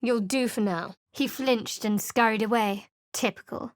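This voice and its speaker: Game role: an actress as a sardonic guarded young cleric, fairly high voice, dry close studio sound. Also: female voice, unhurried, no room sound, natural voice. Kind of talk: video game character voice lines. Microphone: close studio mic.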